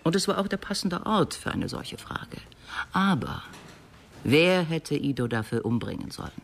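Creaky-voiced, reflective literary man. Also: a little high, sing-song. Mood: reflective, puzzled. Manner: deliberate, reflective, explanatory. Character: thoughtful, reflective literary acquaintance of a murdered professor. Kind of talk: German radio drama acting. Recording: faint background noise.

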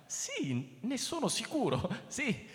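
An actor playing an irascible, bossy shop boss in an Italian radio drama, with an agitated, scolding way of talking. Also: male voice, very high voice, huge pitch swings, slow, big-room sound, exaggerated voice. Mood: ingratiating. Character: irascible, exasperated male boss of a repair shop.

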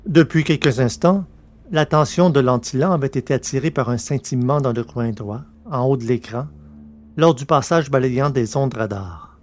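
A man narrating a science-fiction story from a script, in a measured, literary reading manner. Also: mid-range voice.

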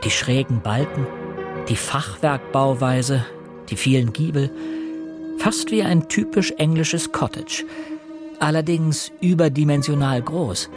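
A man playing an earnest young fiancé turned amateur sleuth in a radio play, measured and inquiring as he acts and narrates. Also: a little high, big pitch swings, over music. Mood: reflective narration.

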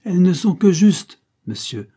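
A man's voice: high voice, very animated, a little slow, voice pushed up, full character voice. A man voicing a stern older aristocrat who scornfully accuses his nephew. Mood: stern accusing. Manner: forceful, indignant reproach.

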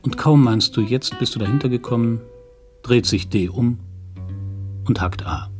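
A man's voice: mid-range voice, normal speed, a little mellow, soft background music, natural voice. A man playing a cynical, musing crime hero in a radio drama monologue. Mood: sardonic musing.

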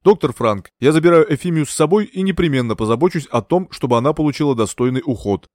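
Stubborn indignant gentleman read by a man, firm, loud, dry close sound. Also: fairly high pitch, lively intonation, quick pace, no echo, voice pushed up, lightly acted. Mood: earnest.

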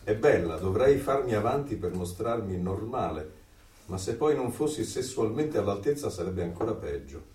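Radio drama: anxious, secretive middle-aged man, male actor, hesitant yet expressive delivery, echoey recording. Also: fairly low pitch, normal speed, close to an everyday voice. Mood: anxious, brooding. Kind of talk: radio drama acting, dialogue and inner monologue. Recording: echoey, distant, music or noise behind.

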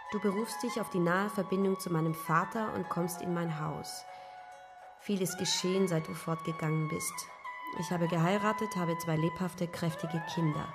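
An actress playing a proud, guarded young married woman voicing her letter in a German radio play, measured and formal. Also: lowish voice, natural voice. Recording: studio radio play with music underneath.

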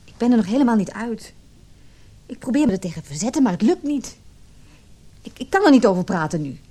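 An actress playing a conflicted but resolute forty-year-old wife in a radio drama, earnest and measured. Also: female voice, a little high, sing-song, warm tone. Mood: torn, distressed. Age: middle-aged. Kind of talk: radio drama acting with reflective narration.

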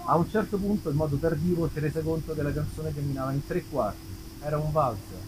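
A man's voice: a little high, fast, noisy background. A man, a musician telling wry anecdotes casually to an audience between songs at an outdoor concert. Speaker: musician, singer-songwriter. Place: outdoor festival stage in an olive grove. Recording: live outdoor concert recording, guitar behind.

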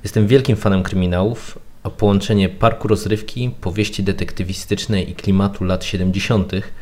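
Low deep guy voice, guest on a podcast giving his prepared take. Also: fairly even intonation, fairly quick, a little mellow. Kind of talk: prepared opinion commentary.

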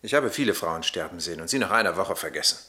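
A man playing a proud, stoic Roman army captain in a radio drama, speaking in a firm, grave, measured way. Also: low voice, expressive, pushed and bright. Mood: proud bitterness. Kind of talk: German radio play acting.

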